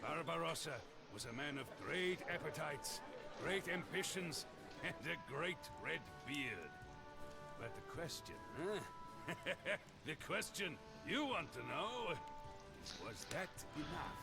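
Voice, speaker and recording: An actor playing a theatrical storyteller of medieval history in a video game, savoring his phrases in a dramatic, deliberate delivery. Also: fairly high pitch, lively intonation, vocal fry, loud music behind the voice, heavily acted. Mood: wry relish. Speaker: narrator.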